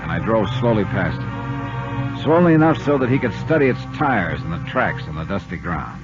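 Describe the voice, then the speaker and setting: A muffled, hissy vintage radio recording carries a tough, unflappable investigator. His low voice swings expressively through dry, clipped questions and hardboiled storytelling, in classic 1950s detective-serial style. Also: big pitch swings, normal speed, over loud music. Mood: measured narrating. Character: tough, probing insurance investigator, adult man, wry and unflappable. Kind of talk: 1950s radio detective acting and first-person narration.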